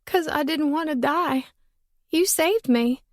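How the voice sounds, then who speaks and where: A frightened little girl stuck high in a tree, her voice high, thin and tearful as she begs for help, put on by a woman reading a storybook. Each word comes slow and pleading, in a close, dry sound. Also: dry recording.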